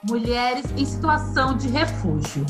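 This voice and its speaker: A woman's voice: sits high, very animated, a little slow. A woman hosting a podcast, delivering the scripted show opening in an announcer-like way. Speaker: podcast host. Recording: roomy sound with music underneath.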